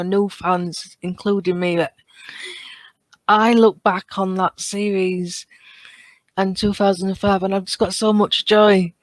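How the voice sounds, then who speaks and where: A woman's voice: medium pitch, dry recording. A woman chatting casually as a fan on a podcast, earnest and enthusiastic in how she talks. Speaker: podcast guest or co-host.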